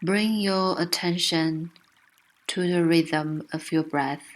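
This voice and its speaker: Woman, low-pitched voice, very slow pace, soothing meditation guide, gentle delivery, trickling water behind. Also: steady tone, poor audio. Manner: gentle, soothing, unhurried instruction.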